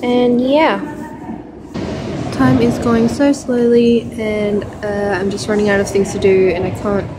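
Young woman vlogging on her phone, rambly with lots of ums, mid pitch, bit of background hiss. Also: flat delivery, a little slow. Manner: chatty, rambling, many fillers and self-corrections. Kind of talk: casual vlog chat. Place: airport terminal, indoors. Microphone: phone held at arm's length.

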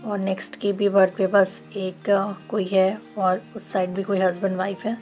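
A chatty woman from Bokaro talks viewers through her train trip, recorded on a handheld phone. Her pitch sits in the middle and barely moves, giving an even, level delivery. Faint music plays underneath. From Bokaro, Jharkhand, India. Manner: chatty, explains each step to viewers. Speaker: travel vlogger. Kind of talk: casual travel vlog narration. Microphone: phone camera held while filming.

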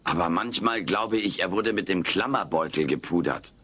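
A man's voice: deep voice, normal speed, sharp tone, breathy edge, heavily acted. A man playing a cheeky, naive furry alien houseguest in a comedy audio play, wisecracking and self-assured. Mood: smug.

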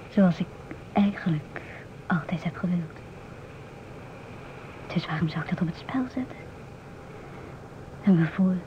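An actress playing a musing, independent stewardess in her twenties in a radio drama, speaking in a hushed, often near-whispered voice. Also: medium pitch, lightly acted. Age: 20s. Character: musing, independent 27-year-old divorced ship stewardess. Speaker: narrator and stewardess. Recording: muffled old radio recording, clear hiss.

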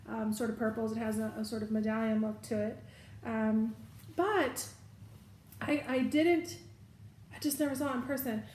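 A woman's voice: a little high, reverberant, a little hiss or hum. A middle-aged woman chatting casually through a shopping haul at home, describing each bag's details and correcting herself as she goes. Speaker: vlogger showing a shopping haul. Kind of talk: casual product review. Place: living room at home, indoors. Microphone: fixed camera at table distance.

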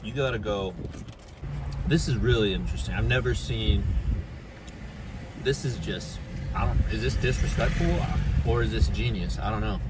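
Sarcastic guy in his 40s chatting about tacos in a parked car, street noise clearly audible behind him. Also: lowish voice, a little slow, long pauses. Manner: joking, sarcastic asides. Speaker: food vlogger. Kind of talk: casual food review chat. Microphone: phone camera on dashboard.

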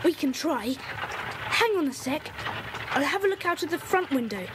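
A woman's voice: high voice, expressive. A woman voicing Peter, a bold, decisive boy leading his friends in an audio drama, on noisy tape. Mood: eager. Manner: brisk, decisive, eager.

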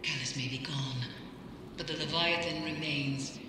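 Grim mystic in cavernous echo. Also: female voice, low-pitched, steady tone, unhurried, noisy background. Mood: grim, foreboding. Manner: solemn, ominous. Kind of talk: scripted game dialogue.

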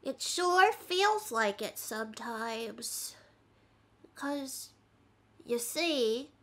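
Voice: mid-range voice, expressive, very slow, fairly bright tone, a little hiss or hum. A performer voicing a small, playful paintbrush who pretends to be a dinosaur, in a bouncy, sing-song way. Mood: glum. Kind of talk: children's puppet show acting.

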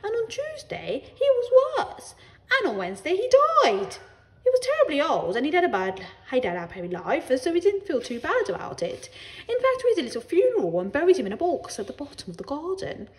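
A woman's voice: high voice, wildly swooping pitch, fairly quick, voice pushed up, exaggerated voice. A woman voicing a chatty, dramatic female next-door neighbour in a children's storybook, telling things emphatically and drawing out her words. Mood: dramatic, hushed wonder. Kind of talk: children's storybook character reading.

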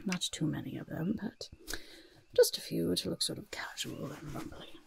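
A woman plays a kindly, shifty goblin disguise master in a soft ASMR roleplay. The voice is lowish and often whispered, with heavy creak. It coaxes gently, repeating words and adding little hesitant asides up close to the mic. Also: normal speed. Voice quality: whispery, breathy, husky. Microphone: close-up microphone.

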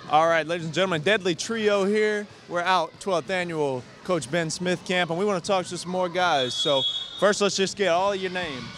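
A man's voice: high-pitched, moderate pace. Upbeat young male reporter, handheld mic. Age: young adult. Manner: upbeat, encouraging, repeats short affirmations. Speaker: TV sports reporter. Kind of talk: on-site interview with kids. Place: large indoor gym. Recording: noisy gym with bouncing balls.

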